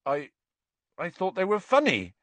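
A man's voice: big pitch swings, pushed and bright, breathy edge, no echo, exaggerated voice. A man voicing a huge, eager gamekeeper in an audiobook, hearty but easily flustered. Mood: crestfallen, unsure. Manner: hearty, booming calls, halting when unsure. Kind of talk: audiobook character voice.

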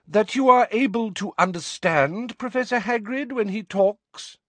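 A man voicing a condescending middle-aged woman school inspector, sickly sweet, speaking slowly and over-enunciating in a patronising way. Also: on the high side, huge pitch swings, fairly bright tone, no echo, pitched above the performer's own voice, heavily acted. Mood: mock-concerned, loud.

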